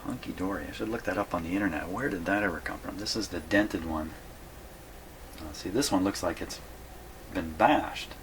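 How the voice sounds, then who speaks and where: Male hobbyist repair host, low register, casual and folksy, talks to himself as he works, noticeably noisy audio. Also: moderate pace. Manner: folksy, musing aloud to himself. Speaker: radio repair hobbyist hosting a video. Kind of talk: casual workbench commentary.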